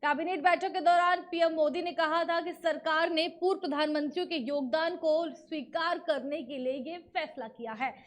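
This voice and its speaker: A very high-pitched woman's voice with an Indian accent delivers scripted news. She reads as a news presenter, moving through each report in narration style. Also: steady tone, moderate pace, few pauses.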